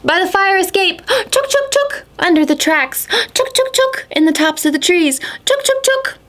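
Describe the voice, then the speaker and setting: A woman's voice: extremely high pitch, sing-song, unhurried, a bit bright, pitched above the performer's own voice, full character voice. A woman voicing a feisty, protective old mother squirrel in a children's story, with chattering, scolding calls. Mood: alarmed.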